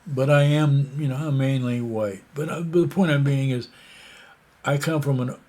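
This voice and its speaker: A man's voice: mid-range voice, steady tone, moderate pace, darkish tone. A man from the United States giving a casual, rambling opinion monologue, hesitant and full of fillers and restarts.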